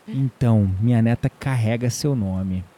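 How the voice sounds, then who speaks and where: Um ator brasileiro interpretando um homem tranquilo, brincalhão e sedutor numa áudio série, em tom de conversa. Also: male voice, medium pitch, unhurried, dark tone, natural voice. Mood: relaxed, fond. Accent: Brazilian. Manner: teasing, chuckling, conversational. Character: easygoing, flirtatious man, herb grower, playful and warm. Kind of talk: audio drama dialogue.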